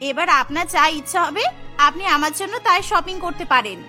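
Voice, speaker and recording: A coy, vain young bride-to-be from a cartoon , voiced by a woman. Her voice is extremely high and bright, with music softly underneath. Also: animated, fairly quick, exaggerated voice. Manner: coy, self-admiring. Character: bashful, vain young bride-to-be, rich and beautiful. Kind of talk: cartoon story voice acting.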